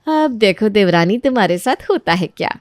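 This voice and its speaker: A woman's voice: medium pitch, expressive, normal speed, darkish tone, no room sound, studio quality, natural voice. A woman voicing a scheming, jealous young sister-in-law in a melodramatic story, two-faced and falsely sweet. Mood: gloating.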